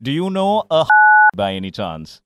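A man's voice: mid-range voice, huge pitch swings, moderate pace, clear, resonant tone, no echo, clean studio sound. A man, a radio host chatting casually. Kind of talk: casual prank reveal.

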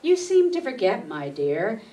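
A woman's voice: mid-range voice, wildly swooping pitch, unhurried, mellow. A woman playing a proud, proper elderly spinster secretary, haughty and scolding, in an amateur radio play. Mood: stern, haughty. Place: meeting room stage before an audience. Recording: distant mic, faint room noise.

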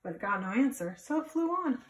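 A woman's voice: on the high side, sing-song, brisk. Woman reading aloud, echoey room. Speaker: storybook reader for children. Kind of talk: expressive read-aloud with simple explanations for children. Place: small plain indoor room. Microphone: phone or camera a few feet away. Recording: echoey room, distant phone mic.

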